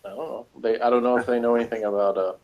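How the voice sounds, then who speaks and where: A man co-hosting a casual podcast chat about a TV episode, animated and jokey, often laughing and acting out quotes. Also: medium pitch, racing, dull audio. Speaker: podcast co-host.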